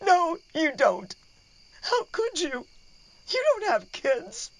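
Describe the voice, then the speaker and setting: A woman's voice: very high-pitched, big pitch swings, slow pace, full character voice, poor audio. A woman playing a hurt, sarcastic middle-aged wife and mother in a radio play, speaking with open emotion. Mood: upset, tearful.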